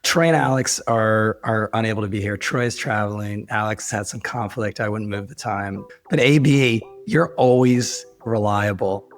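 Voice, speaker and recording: A hoarse man who is losing his voice, talking casually and wryly as a podcast host in a rambling, informal way. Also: fairly low pitch, moderate pace, hardly any pauses, no room sound. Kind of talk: casual conversational podcast talk.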